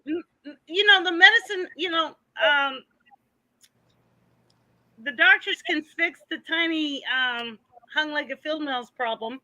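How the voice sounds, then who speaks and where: A woman with a very high, bright, piercing voice chats as a guest on a livestream panel. She jokes crudely and openly over a video call. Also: a little slow, long pauses, rough recording. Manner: crude, joking. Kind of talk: casual panel chat.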